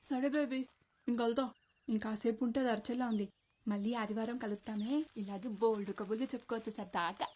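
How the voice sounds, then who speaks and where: A woman's voice: fairly high pitch, steady tone, fast, close to an everyday voice. A woman playing a chatty, nosy young friend. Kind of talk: film dialogue. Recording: film soundtrack, loud music behind.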